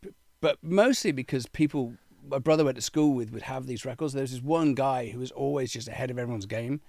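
A man's voice: medium pitch, brisk, gravelly, a little mellow, no room sound. A man in his fifties, swearing freely as he tells stories in a casual chat. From England. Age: 50s. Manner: swearing, storytelling. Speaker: show host.

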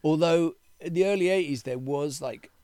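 A man's voice: fairly high pitch, moderate pace, dark tone, no room sound. Man in his fifties telling loose, profane stories with a dry, close sound. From England. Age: 50s. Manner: swearing, storytelling. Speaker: show host. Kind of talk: casual chat.

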